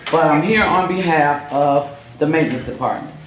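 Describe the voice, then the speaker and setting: A hoarse woman who is losing her voice, speaking plainly as a union steward at a school board meeting, halting now and then with fillers. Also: low voice. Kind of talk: public comment at a board meeting. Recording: echoey room.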